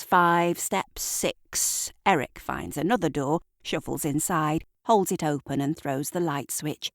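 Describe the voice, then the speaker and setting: Female narrator, low and unhurried, close dry sound, brooding delivery for dark scripted drama. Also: no echo. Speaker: narrator and writer of an audio drama.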